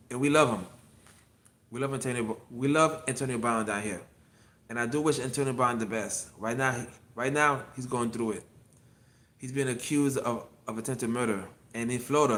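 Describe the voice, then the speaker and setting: A fifty-two-year-old man talks off the cuff on a solo livestream from his clinic. His voice sits at an ordinary middle pitch. He rambles, circles back, and repeats lines as he thanks viewers in the chat. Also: fairly bright tone. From Miami. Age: 50s. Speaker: acupuncture physician and livestream host.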